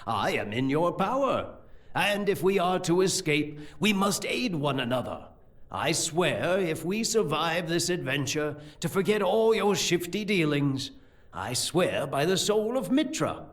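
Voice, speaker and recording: Scheming priest with swooping, lifted voice. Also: male voice, a little high, very animated, a bit bright. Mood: cool, calculating. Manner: theatrical, measured, condescending. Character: scheming, sardonic male court priest, coolly menacing. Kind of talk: audio drama acting.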